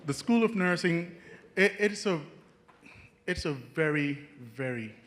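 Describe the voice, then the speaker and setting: A young Jamaican man, a nursing student, telling an audience about his path in an earnest, halting way, with many restarts. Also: a little high, expressive, a bit bright, slight background noise. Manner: earnest, halting, frequent restarts, chuckles at his own stories. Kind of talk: personal story talk to an audience.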